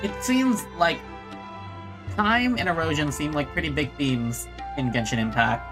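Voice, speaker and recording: A video game streamer giving casual, expressive live commentary and musing aloud while playing. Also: medium pitch, a little slow, music in the background.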